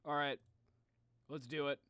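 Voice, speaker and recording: A man's voice: a little high, flat delivery, a little slow, pushed and bright, clean tone, no echo. A man doing casual live game commentary as a streamer, talking in slangy, reactive exclamations.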